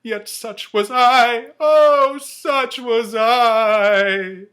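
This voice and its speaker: Anguished ghost, high and slow. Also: male voice, heavily acted. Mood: lamenting. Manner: slow, loud, mournful declaiming. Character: anguished male ghost of a dead businessman, remorseful, wailing. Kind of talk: dramatic storybook reading.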